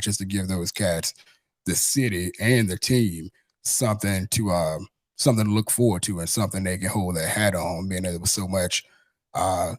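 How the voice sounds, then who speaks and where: Man, Southern US accent, low voice, dry close sound, podcast host, conversational, wordy and repetitive. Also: dry recording. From Mississippi, United States. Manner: wordy, circling back to repeat phrases. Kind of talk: casual sports interview chat.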